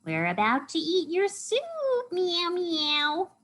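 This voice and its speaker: Storybook cat, very high voice, fussy, meowing, animated. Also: female voice, takes it slowly, exaggerated voice. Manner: meows between phrases.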